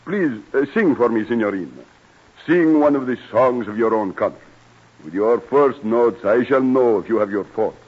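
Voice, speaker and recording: An actor playing an old, courtly European singing maestro in a radio drama, speaking in formal, measured phrases. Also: male voice, medium pitch, animated, husky. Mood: courtly, teasing. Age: older. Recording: muffled vintage radio broadcast.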